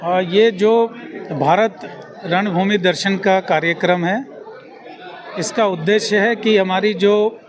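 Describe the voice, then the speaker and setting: A man's voice: high-pitched, steady tone, a little slow, long pauses. A male army officer giving an interview statement, explaining point by point. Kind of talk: spoken news interview statement. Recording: crowd noise behind the speaker. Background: crowd.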